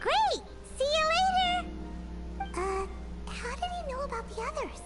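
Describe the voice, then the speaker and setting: A woman's voice: very high voice, sing-song, measured pace, exaggerated voice. A woman voicing a tiny, bubbly female fairy companion in a video game, blurting things out. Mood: chirpy. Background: game music.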